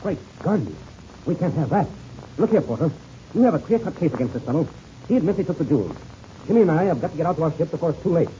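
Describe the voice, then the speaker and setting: Actor as a fast-talking, decisive pilot mentor. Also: male voice, on the high side, expressive, mellow, close to an everyday voice. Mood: urgent. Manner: brisk, slangy instructions. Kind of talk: 1930s radio adventure serial acting. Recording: dull, noisy old radio recording.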